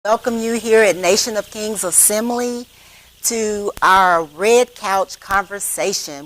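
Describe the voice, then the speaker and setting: A woman's voice: mid-range voice, rough recording. Woman in her fifties hosting a panel. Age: 50s. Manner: warm, conversational, checks in with "okay?". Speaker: host, moderator of a women's panel. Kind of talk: church panel discussion. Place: church assembly room.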